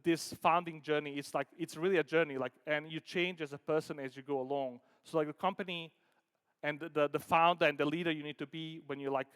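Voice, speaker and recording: A man from Denmark giving an informal conference talk as a startup founder, halting and conversational, peppering sentences with filler words like "like" and "you know". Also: fairly high pitch, steady tone, a bit bright, smooth. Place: conference hall stage. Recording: echoey hall with long reverb.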